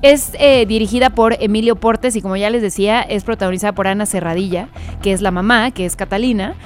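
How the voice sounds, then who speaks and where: A woman's voice: mid-range voice, fast, faint music behind. A woman, hosting and giving enthusiastic, filler-heavy film recommendations in a casual chat. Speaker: host presenting recommendations.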